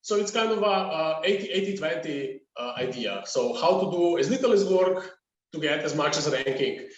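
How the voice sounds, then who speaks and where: Male podcast guest, middle-aged, Slovenian accent. Halting, repetitive delivery, slightly high pitch, roomy distant sound. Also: lively intonation, muffled recording. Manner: halting, repeats words. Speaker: podcast guest, agency founder. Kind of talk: casual conversational interview answer. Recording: echoey room, distant from mic.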